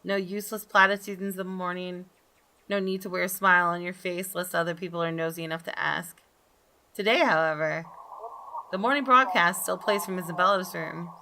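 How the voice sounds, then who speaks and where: A woman narrates story text from a visual novel in a fairly low voice. Her reading is measured and expressive, with clear noise behind her. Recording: clearly noisy background.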